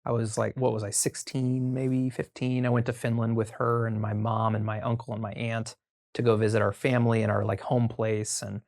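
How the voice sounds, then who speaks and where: An American man chatting casually as a podcast guest, telling stories informally and haltingly as he thinks aloud. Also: medium pitch, flat delivery, vocal fry, darkish tone. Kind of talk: casual conversational storytelling.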